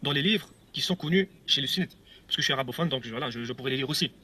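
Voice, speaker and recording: A man's voice: mid-range voice, racing, sharp tone, slight background noise. A man telling his own story on video, rambling and hesitant, with repeats and nervous laughs. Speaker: ex-believer giving a testimony video. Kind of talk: casual video testimony.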